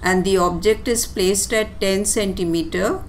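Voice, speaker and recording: A woman teacher giving a step-by-step tutorial explanation in a deliberate, instructive way with short, pausing phrases. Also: mid-range voice, slight background noise. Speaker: physics teacher. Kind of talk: step-by-step tutorial explanation over handwritten working.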